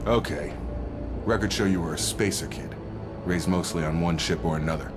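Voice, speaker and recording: An easygoing, loyal male operative, voiced by an actor in a sci-fi video game, his voice very deep. He sounds relaxed and informal, backing up his teammate. A music score plays behind the game audio. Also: moderate pace, natural voice. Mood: earnest.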